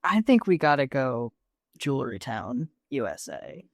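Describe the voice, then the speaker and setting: A woman's voice: rumbling low, sing-song, measured pace, gravelly, dry recording, clean studio sound. A woman co-hosting a podcast in casual chat, playful, slangy and full of exclamations. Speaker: podcast co-host.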